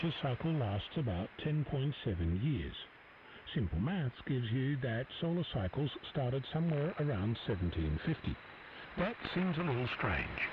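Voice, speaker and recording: Male radio presenter, measured explanatory read, lively pitch, muffled static-heavy shortwave sound. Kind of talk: scripted educational narration.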